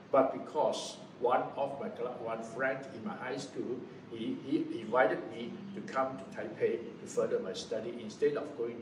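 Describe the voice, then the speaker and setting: A man in his seventies from Malaysia, giving a personal testimony in a halting way, often restarting and correcting himself. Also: medium pitch. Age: 70s. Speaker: interviewee recounting his past. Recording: roomy echo from a distant mic, noisy background.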